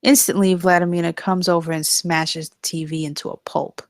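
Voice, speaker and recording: A woman's voice: lowish voice, flat delivery, normal speed, dry recording, clean studio sound. A woman narrating scripted stage directions at a live comedy table read.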